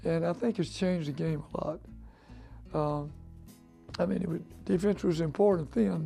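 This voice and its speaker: A man's voice: fairly high pitch, gravelly, mellow. A man in his eighties from the southern United States, casually reminiscing as an interviewee. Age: 80s. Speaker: interviewee, former college basketball player.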